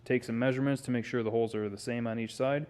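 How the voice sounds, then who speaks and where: A man's voice: mid-range voice, steady tone, quick pace, darkish tone. An American man narrating a garage car-build vlog informally, thinking aloud as he works. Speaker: car builder and video host. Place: home garage.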